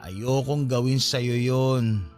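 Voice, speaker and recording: A Filipino actor in a radio drama playing a drunk, self-pitying young boyfriend who feels neglected, with groggy delivery. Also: male voice, mid-range voice, steady tone, slow pace, a little mellow, natural voice. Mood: bitter, hurt. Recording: music behind.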